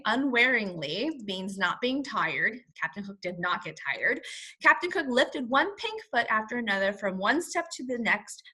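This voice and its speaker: A woman's voice: mid-range voice, pushed and bright, breathy edge. A woman teacher reading a story aloud to young children, expressively, with simple word explanations. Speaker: first grade teacher.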